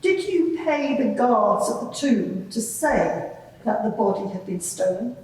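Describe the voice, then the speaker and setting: A woman's voice: medium pitch, expressive, unhurried, darkish tone, a little hiss or hum, natural voice. A woman playing a composed, probing lawyer who questions a witness formally and insistently in a live courtroom drama. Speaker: examining lawyer in a dramatised inquiry. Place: large reverberant courtroom, live event. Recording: very echoey hall, distant microphone.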